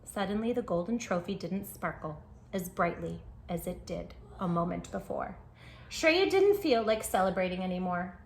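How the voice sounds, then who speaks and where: A woman's voice: on the low side, animated, moderate pace, gravelly, slight background noise. A woman hosting a children's storytime, reading aloud expressively with dramatic emphasis and speaking directly to young listeners. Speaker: children's book read-aloud host. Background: music.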